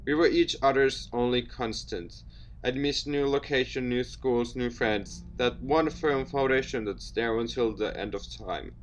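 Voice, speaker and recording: A man's voice: mid-range voice, steady tone, unhurried, a little hiss or hum, low-quality recording. A man doing a let's play, reading a visual novel aloud in a theatrical, jokey way and interjecting his own comments. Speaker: video game let's player.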